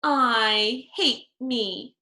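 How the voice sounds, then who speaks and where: A woman voicing an excitable, dramatic little girl from a children's storybook, with a childlike, emphatic way of talking. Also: fairly high pitch, drawn-out words, echoey room, exaggerated voice. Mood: upset, stubborn. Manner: dramatic, childlike, hollering when upset. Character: excitable, dramatic little girl narrating her own mishaps.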